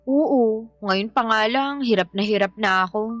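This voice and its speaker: A woman's voice: a little high, measured pace, soft background music, natural voice. A woman playing an exasperated young mother who complains emphatically about her partner in a Filipino radio-style drama. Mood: exasperated, complaining.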